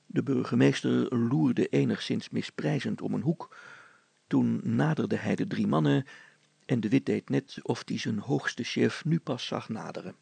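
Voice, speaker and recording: A man's voice: medium pitch, a little slow, gravelly, dark tone, breathy edge, no echo. A man narrating a scripted story as a storyteller.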